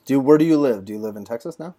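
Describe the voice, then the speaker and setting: A man's voice: medium pitch, lively intonation, racing, warm tone, dry recording. A man hosting a podcast in a casual, conversational interview style. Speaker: podcast host.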